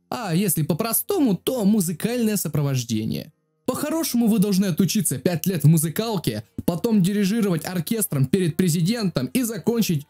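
Casual tutorial host, mid pitch, animated intonation, mellow timbre, self-mocking, crude slang, faint music. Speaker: video creator and host. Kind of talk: casual tutorial narration, joking.

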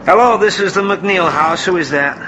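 An actor playing a troubled, earnest priest in a radio horror drama, uneasy and questioning. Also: male voice, sits high, moderate pace, roomy sound, distant mic, over music, lightly acted, low-quality recording. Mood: anxious calling. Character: troubled, anxious male priest, earnest and bewildered.

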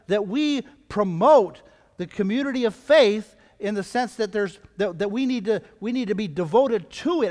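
An older man preaches to his congregation in a high-pitched voice. He drives his points home with repeated phrases and rhetorical questions, and his words ring with the long reverb of a church hall. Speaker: pastor preaching. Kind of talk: sermon to a congregation. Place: church hall, indoors at a lectern. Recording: reverberant hall, picked up from a distance.

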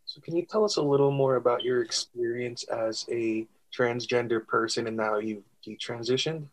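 A man hosting a podcast, asking calm, direct interview questions into a studio microphone at home. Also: medium pitch, normal speed, rough recording. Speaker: podcast host.

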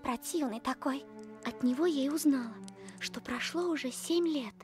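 A woman's voice: sits high, normal speed, darkish tone, soft background music, natural voice. A woman playing a sweet, honest young princess turned goose in a cartoon, earnest and innocent. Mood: indignant.

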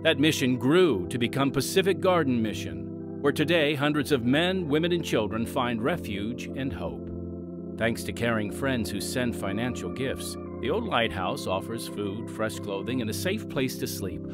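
A male radio drama announcer reads in a solemn, theatrical way. His mid-pitched voice moves in lively swings over loud organ music. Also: normal speed. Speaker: announcer and narrator.

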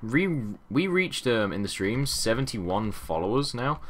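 A young man with a deep voice and a sore throat, chatting casually over gameplay in a rambling way that often trails off into mumbles. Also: very animated, a little slow. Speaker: gaming channel host. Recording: audible background noise and keyboard clicks.

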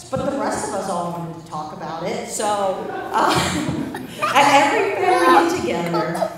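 Young woman, anecdotal sister's toast; heavy reverb, distant and noisy. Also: medium pitch. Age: young adult. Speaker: bride's sister giving a toast. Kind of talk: casual, anecdotal wedding speech. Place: large indoor reception room with windows. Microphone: handheld microphone heard from across the room. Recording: echoey hall, distant, clear room noise.

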